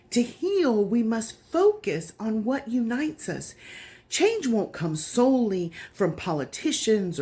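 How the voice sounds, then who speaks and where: A woman giving an earnest, deliberate reading of an open letter, appealing directly and with feeling to the listener. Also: lowish voice, darkish tone.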